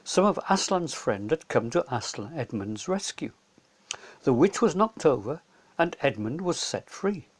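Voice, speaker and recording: A man tells a story for children in a simple, straightforward way. His intonation is animated, moving up and down freely as he narrates. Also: on the high side, a little slow. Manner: simple, clear retelling for children.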